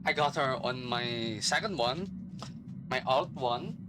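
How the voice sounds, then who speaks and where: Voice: medium pitch, takes it slowly, background noise. A streamer giving casual reaction commentary on a game story, musing and repeating phrases, trailing off between thoughts. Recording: loud game music behind. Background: video game music and dialogue.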